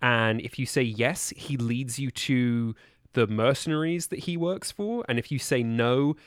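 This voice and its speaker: A man's voice: medium pitch, unhurried, no room sound, studio quality. A man on a podcast in casual discussion of a book, hesitant and often circling back to clarify. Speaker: podcast panelist.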